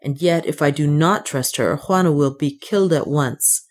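A woman voicing a troubled, protective male adventurer in a storybook reading, speaking low and hoarsely. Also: moderate pace.

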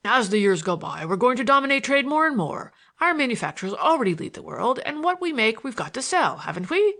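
Storybook gentleman, played by a female reader: mild, well-bred, pompous orator, pitch raised. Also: sing-song, fairly quick. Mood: earnest pontificating.

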